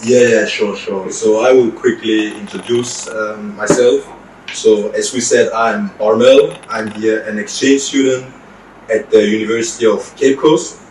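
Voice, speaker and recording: A young man from Cameroon, an exchange student doing his master's, answering interview questions in a measured, explanatory way. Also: on the low side, steady tone, a little mellow. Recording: roomy echo, distant mic, faint noise.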